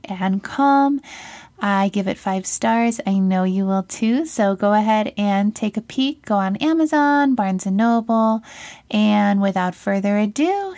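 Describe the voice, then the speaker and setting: A woman's voice: a little high, a little mellow. A woman hosting a podcast, bubbly and enthusiastic as she chats with her guest. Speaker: podcast host. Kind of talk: casual interview chat.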